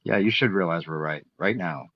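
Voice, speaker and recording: A man's voice: low voice, normal speed, no room sound. Challenging man on dull, dry voice chat. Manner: challenging, repeats pointed questions. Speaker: debater. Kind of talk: heated online voice-chat debate.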